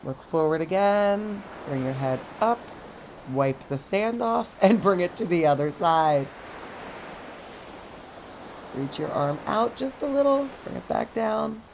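A middle-aged woman teaching a stretching class outdoors on a sandy beach, calmly cueing each movement step by step. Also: medium pitch, slow pace. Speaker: stretch instructor. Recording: outdoor surf noise behind the voice. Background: ocean waves.

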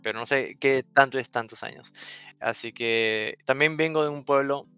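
A man's voice: medium pitch, normal speed, bright, forceful tone, smooth, no room sound, soft background music. A young man from Venezuela whose Spanish carries an Argentine lilt, chatting as a guest on a headset with frequent restarts. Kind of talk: casual interview chat.

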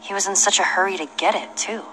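A woman's voice: medium pitch, quick pace, a bit bright, slight background noise, close to an everyday voice, low-quality recording. An actress playing a tough, principled and suspicious young female adventurer in a video game. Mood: suspicious, tense.

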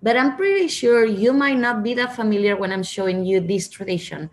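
A woman's voice: mid-range voice, a little fast. A woman in her thirties from Catalonia with a Spanish accent, presenting a winery's wines over a video call in careful, explanatory English with small restarts. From Catalonia, Spain. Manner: explanatory, restarts, non-native phrasing. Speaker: winery representative. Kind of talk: wine presentation to diners.

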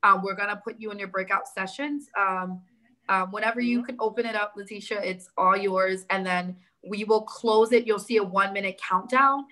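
New york woman running a zoom town hall, brisk and organized, laptop mic. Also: medium pitch, pushed and bright. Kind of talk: moderating a video call meeting. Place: indoor room with big windows. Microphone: laptop webcam on a video call.

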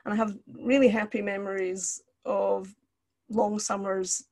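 A woman's voice: mid-range voice, flat delivery, a little slow, a little mellow. An older woman from Scotland, lecturing over a video call in a conversational, explanatory way. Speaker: counselling lecturer. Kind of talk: online lecture with personal examples. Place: indoor room, video call.

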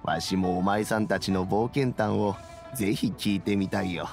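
An actor playing a kindly, wise elderly statesman in a video game, speaking in a measured, old-fashioned way. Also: fairly low pitch, creaky, a little mellow, soft background music. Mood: welcoming. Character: dignified kindly elderly statesman, grey-haired, calm and wise. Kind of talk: Japanese video game voice acting.

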